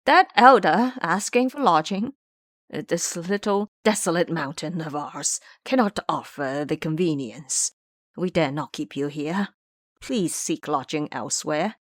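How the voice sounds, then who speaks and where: A woman's voice: sits low, lively intonation, unhurried, no echo, studio quality. A woman voicing a fearful, grumbling old male abbot in an audio drama, bossy and querulous with his underlings. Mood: frightened pleading. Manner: querulous, scolding.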